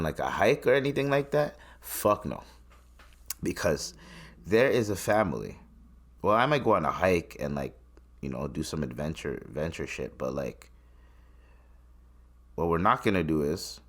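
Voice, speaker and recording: A man from Tanzania hosting a solo podcast, rambling and swearing between his points. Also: sits low. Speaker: podcast host. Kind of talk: casual solo podcast commentary.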